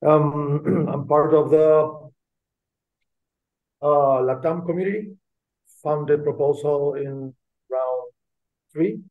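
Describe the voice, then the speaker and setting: Halting man on a phone-like line. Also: a little high. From Argentina. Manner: halting, hesitant delivery. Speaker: developer, meeting participant. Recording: very thin phone-like call audio.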